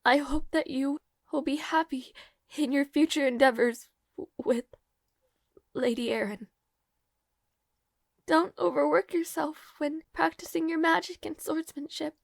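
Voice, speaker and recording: A woman playing a devoted, lovelorn young maid in an intimate fantasy audio roleplay, speaking formally and haltingly, tearful and earnest, with soft breathy moments. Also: high-pitched, no room sound, studio quality. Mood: sorrowful farewell.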